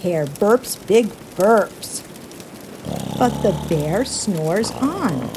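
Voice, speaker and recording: A woman reading a children's picture book aloud in an animated, deliberate storytelling manner with playful emphasis. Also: medium pitch, noisy background. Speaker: storybook reader.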